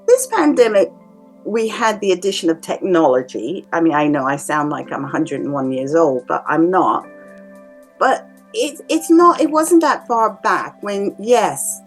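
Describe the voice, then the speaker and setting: A woman who works as a psychic reader and medium, explaining in a calm, measured way to her viewers. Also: lowish voice. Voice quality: calming voice. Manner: calm, measured explaining with lively rises and drops. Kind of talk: casual explanatory talk to camera. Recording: faint music behind. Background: faint music.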